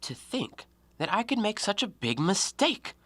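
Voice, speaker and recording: A man acting in an audio play as an educated, coolly logical, self-righteous man who argues scornfully in precise, deliberate diction. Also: sits high, big pitch swings, fairly bright tone, no echo, heavily acted. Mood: wary, reasoning.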